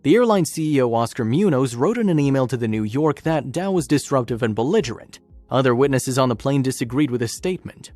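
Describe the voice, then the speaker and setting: A man's voice: medium pitch, animated, fast, a little mellow, no room sound. A man reading scripted, documentary-style story narration as a narrator. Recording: music bed under narration. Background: background music.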